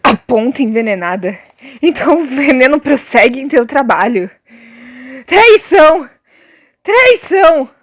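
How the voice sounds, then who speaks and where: A woman's voice: high voice, sing-song, unhurried, pauses often, no room sound, studio quality. A woman playing an anguished, vengeful young prince in declamatory radio drama style. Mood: furious. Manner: declamatory, exclaiming. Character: anguished, furious young prince avenging betrayal, then dying. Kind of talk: melodramatic radio drama acting of a stage tragedy.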